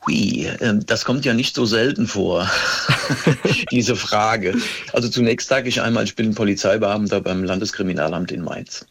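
A man speaking as a police expert guest, chatting casually and haltingly in an interview. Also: fairly low pitch, a bit bright, husky.

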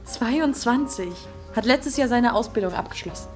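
Actress as a cheeky, high-voiced teenage girl. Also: moderate pace, music in the background, close to an everyday voice. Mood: teasing. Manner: slangy, teasing, brash. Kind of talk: naturalistic German radio drama dialogue.

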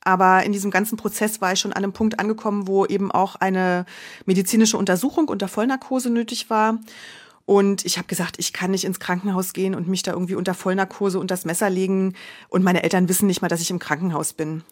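A woman from Berlin in her forties shares her story as an interview guest, thoughtful and candid. She circles back and restarts sentences as she gathers her thoughts, in a voice of ordinary middle pitch. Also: steady tone, quick pace. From Germany, Berlin. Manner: reflective, candid, with frequent restarts. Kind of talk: personal interview.

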